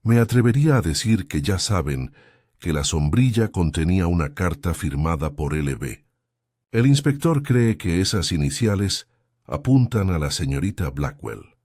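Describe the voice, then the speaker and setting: A man voicing an elderly spinster detective who speaks softly and kindly while quietly probing. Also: low voice, big pitch swings, moderate pace, dry recording, clean studio sound. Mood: gentle, probing. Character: gentle elderly spinster sleuth, mild and shrewd.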